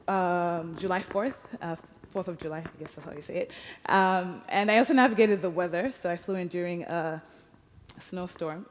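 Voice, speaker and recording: Ugandan woman speaking in a reverberant hall. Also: lowish voice. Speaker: speaker telling personal story. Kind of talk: personal public talk.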